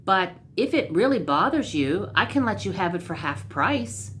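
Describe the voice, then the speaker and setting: A woman's voice: mid-range voice, very animated, normal speed, fairly bright tone, slight background noise. A woman voicing a brash young male rug salesman in a storybook, with a glib, slangy way of talking. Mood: glib, wheedling.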